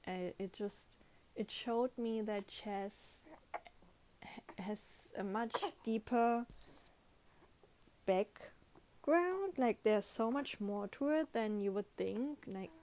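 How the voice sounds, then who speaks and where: A woman's voice: mid-range voice, mellow. A young woman with a German accent, speaking English as a non-native speaker and chatting casually on her podcast, often restarting her sentences. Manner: chatty, self-correcting, with frequent restarts. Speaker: podcast host. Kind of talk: casual monologue.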